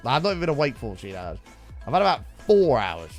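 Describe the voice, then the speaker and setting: A man streaming a game, chatting casually and wryly with his viewers while his voice is hoarse and sore. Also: mid-range voice, big pitch swings, fast, faint music behind. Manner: bantering, wry, teasing chat members.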